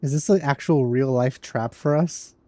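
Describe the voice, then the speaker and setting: A woman's voice: very deep voice, normal speed, mellow, slight background noise. A woman giving casual reaction commentary while playing a video game.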